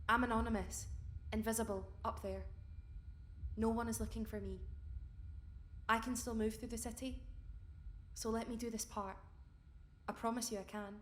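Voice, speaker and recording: A woman's voice: a little high, flat delivery, normal speed, long pauses, in a large hall, a little hiss or hum, natural voice. An actress playing a brave, steadfast woman of the resistance in an audio drama, earnest and reassuring. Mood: earnest, determined. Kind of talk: fiction podcast audio drama acting.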